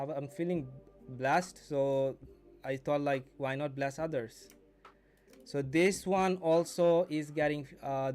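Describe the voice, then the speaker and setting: A man's voice: on the high side, a little mellow. A man in his twenties hosting a casual live stream as a mentor, teaching and chatting to viewers, repeating and rephrasing as he goes. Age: 20s. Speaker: day trading mentor and live stream host.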